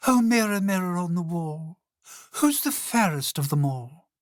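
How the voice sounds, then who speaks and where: A man voicing a spoiled, vain and vicious queen who screeches her lines in a high, loud voice. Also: expressive, a little slow, no echo, studio quality.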